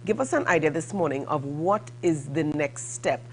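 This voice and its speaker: A woman hosting a morning show, putting questions in a halting, rephrasing way. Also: low-pitched, a little hiss or hum, low-quality recording. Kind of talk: broadcast interview questioning.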